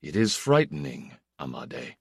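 A man's voice: deep voice, sing-song, unhurried, dry recording, studio quality, heavily acted. A man reading the part of a grave, diffident, watchful older brother in a story, with an ironic edge. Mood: grave, hushed.

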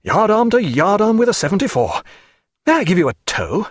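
Guy doing a fussy excited captain voice, bouncy pitch, dry close sound. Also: a little fast, no echo. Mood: effusive. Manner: gushing, eager and fussy. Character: effusive red-faced middle-aged merchant captain.

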